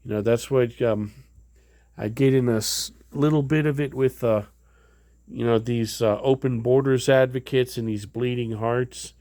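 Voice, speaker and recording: A man's voice: mid-range voice, fairly even intonation, measured pace, dark tone, no room sound, clean studio sound. A man casually showing items in a home vlog, rambling with many fillers and restarts. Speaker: vlogger. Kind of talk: casual show-and-tell vlog.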